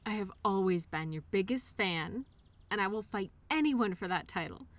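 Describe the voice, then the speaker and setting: A woman playing a loyal childhood imaginary friend in an audio roleplay, speaking in an earnest, reassuring, gently encouraging way. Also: medium pitch, lively intonation, normal speed, no room sound, natural voice. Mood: affectionate, reassuring. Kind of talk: one-sided audio roleplay, intimate scripted conversation.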